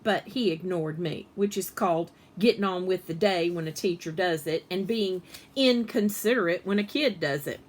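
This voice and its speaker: A woman reading a children's story aloud in an animated, expressive way, with emphasis on the dialogue. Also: on the low side, normal speed.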